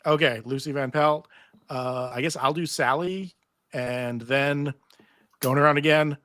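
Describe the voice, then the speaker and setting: A man with a bright, forward voice sitting a little high, caught dry and close. He organizes a casual table read and narrates the stage directions in a chatty panel style. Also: a little slow, no echo.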